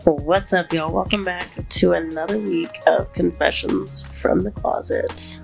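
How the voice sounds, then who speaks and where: A woman hosting a podcast in casual, heartfelt chat. She is congested and her voice sits deeper than usual, and she talks openly and vulnerably, close to tears. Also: fairly even intonation, a little slow, gravelly, faint music behind. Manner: open and vulnerable, near tears. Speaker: podcast host.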